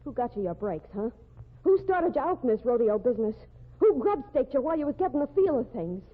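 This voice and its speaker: A woman's voice: sits high, big pitch swings, fairly quick. An actress playing a tough, jealous woman in a 1940s radio drama, with a hard-edged, slangy way of talking. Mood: menacing. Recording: tinny old radio broadcast.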